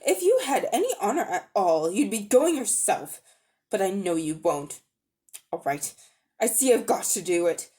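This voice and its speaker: A woman voicing a brave, hot-tempered schoolboy in a dramatic storybook reading, speaking bluntly and forcefully. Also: medium pitch, big pitch swings, moderate pace, lightly acted. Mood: angry, resigned. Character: indignant, brave, outspoken English schoolboy.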